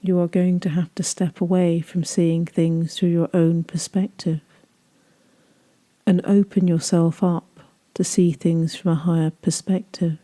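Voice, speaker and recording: Very mellow, soft-toned woman guiding meditation. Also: lowish voice, little pitch movement, measured pace. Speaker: guided meditation host. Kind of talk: slow, soothing guided meditation narration.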